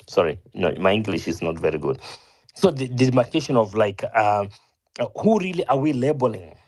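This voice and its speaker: A man's voice: medium pitch, slightly rough. A Kenyan man arguing his point in a discussion, earnest, often restarting and correcting himself, rising as he presses a point. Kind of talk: opinionated discussion.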